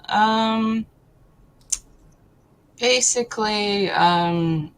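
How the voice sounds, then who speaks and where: A woman, answering casually and haltingly as a talk show guest. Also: medium pitch, poor audio. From Poland. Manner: hesitant, halting.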